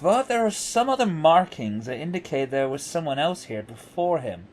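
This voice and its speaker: A man's voice: on the high side, big pitch swings, moderate pace, a little hiss or hum. A man playing a chatty, wry investigator in a fan audio drama. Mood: explaining. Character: chatty, quippy male investigator.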